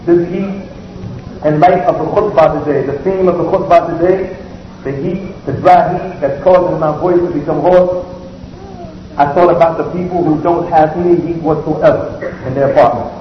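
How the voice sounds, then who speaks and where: Male imam, sermon delivery, steady and repetitive, recorded from a big reverberant civic hall with a dull sound. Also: fairly high pitch. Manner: measured preaching with repeated phrases. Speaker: imam giving the Eid sermon. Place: large civic center hall. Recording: echoey hall, muffled, faint hiss.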